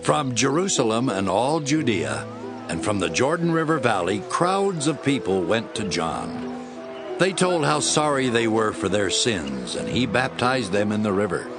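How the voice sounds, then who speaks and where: Lowish, animated male Bible narrator. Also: unhurried, music in the background. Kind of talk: scripted dramatic Bible narration.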